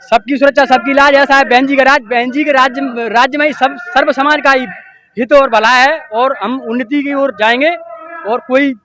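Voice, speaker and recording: A man's voice: very high-pitched, fairly even intonation, normal speed, bright, forceful tone. A man, answering respectfully as a party worker and often repeating the start of words. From rural Madhya Pradesh, India. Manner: stammering repetitions, respectful. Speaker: young party worker being interviewed.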